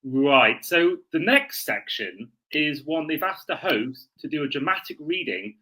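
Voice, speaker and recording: A man's voice: fairly high pitch, expressive, a little slow, bright, forceful tone, slightly rough, rough recording. A man from Wales, talking casually as a podcast host.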